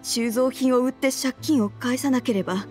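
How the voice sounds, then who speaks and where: A woman playing an earnest, troubled wife and mother in a video game, speaking politely and with measured care. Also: high-pitched, a little mellow, soft background music, natural voice. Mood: troubled, recounting.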